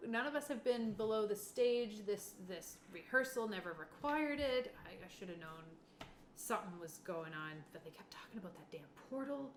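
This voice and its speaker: A woman's voice: medium pitch, lively intonation, moderate pace, echoey room, a little hiss or hum, natural voice. A woman voicing an anxious theatre actor in a tabletop roleplaying game. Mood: subdued.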